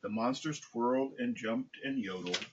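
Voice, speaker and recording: A man with a husky voice reading a children's picture book aloud. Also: medium pitch, normal speed, highs cut off, a little hiss or hum. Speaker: storybook reader, dog owner.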